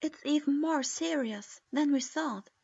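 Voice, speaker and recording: A woman's voice: sits high, a little slow, creaky, darkish tone, voice pushed up. A woman voicing an earnest young girl ninja who speaks seriously. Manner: serious, grave. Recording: dull lo-fi recording.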